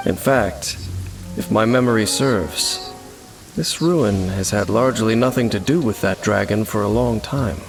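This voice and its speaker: A man voicing a solemn, mysterious wandering swordsman in a game, speaking in measured, formal phrases. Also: on the low side. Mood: grave. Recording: game music behind, with rain sounds.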